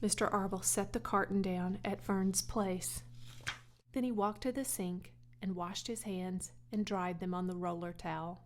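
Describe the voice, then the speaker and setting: A woman's voice: mid-range voice, fairly even intonation, unhurried. A woman reading a children's storybook aloud as a scripted audiobook narration. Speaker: audiobook reader.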